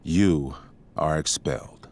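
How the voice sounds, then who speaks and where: An actor playing a stern, middle-aged high school principal with a measured, officious manner in a video game drama. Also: male voice, animated, gravelly, a little mellow, full character voice. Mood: firm, reproving.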